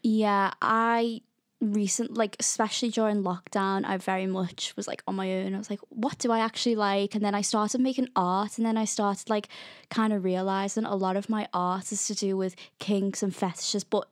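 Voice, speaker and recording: A woman's voice: mid-range voice, fairly even intonation, moderate pace, no room sound, clean studio sound. A woman in her late teens from Liverpool, chatting informally as an interview guest, often dropping in "like". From Liverpool, England. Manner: informal, peppered with "like". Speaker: podcast guest, art student. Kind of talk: casual interview conversation.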